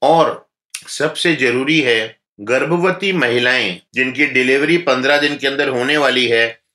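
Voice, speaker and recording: A man speaking as a doctor, giving calm, instructive health advice in an interview. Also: medium pitch, unhurried, roomy sound.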